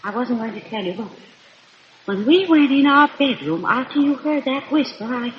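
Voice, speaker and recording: Scared young newlywed wife, high swooping voice, stammering, old radio drama, big echoey reverb. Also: female voice, expressive, muffled recording. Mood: frightened, intense. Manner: halting, anxious, stammering. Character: frightened young American newlywed wife, nervous and hesitant. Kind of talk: 1930s radio melodrama acting.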